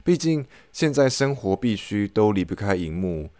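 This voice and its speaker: A man's voice: on the low side, sing-song, normal speed, dark tone, no room sound. A man podcast host delivering a scripted, reflective personal monologue.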